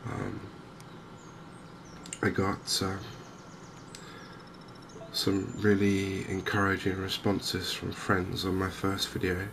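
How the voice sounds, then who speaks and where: A softly spoken man giving hesitant, unhurried ASMR-style commentary over a video game. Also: sits low, completely flat delivery. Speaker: gaming video host. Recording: clear game ambience noise behind voice. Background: outdoor wildlife ambience from a fishing game.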